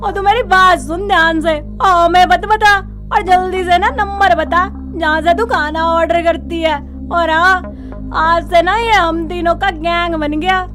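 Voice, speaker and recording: Woman as a sassy, very high-pitched daughter-in-law. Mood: scheming. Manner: sassy, drawling, dramatic. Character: sassy, scheming young daughter-in-law in cartoon story. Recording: music behind the voice.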